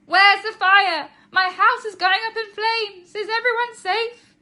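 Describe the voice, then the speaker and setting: A woman's voice: fairly even intonation, measured pace, full character voice. A woman voicing a flustered, dramatic mother in a children's story, exclaiming in alarm. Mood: panicked. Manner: shrill, loud exclamations when alarmed.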